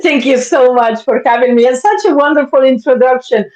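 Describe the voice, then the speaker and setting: A woman's voice: high voice, brisk, darkish tone, roomy sound, low-quality recording. A woman in her fifties from Argentina, a therapist guest talking bluntly and directly, swearing freely. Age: 50s. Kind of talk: candid interview talk.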